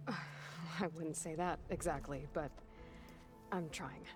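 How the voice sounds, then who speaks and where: A woman's voice: low-pitched, fairly even intonation, takes it slowly, music in the background. An actress playing a determined, guarded young warrior woman in a video game, speaking in a terse, understated and serious way. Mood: guarded, weary. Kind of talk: video game character dialogue.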